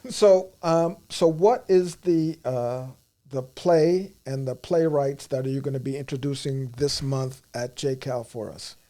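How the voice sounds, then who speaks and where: A man's voice: medium pitch, unhurried, dark tone. A man co-hosting a studio talk show, asking questions with many hesitant fillers. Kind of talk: casual studio interview.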